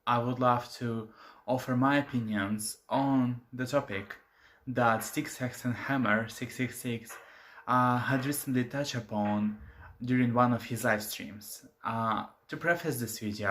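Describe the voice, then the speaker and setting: Young male channel host, English a second language, halting delivery with many fillers, mid-range voice. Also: medium pitch, steady tone, a bit bright. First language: not English. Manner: hesitant, many filler sounds. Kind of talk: casual commentary to camera.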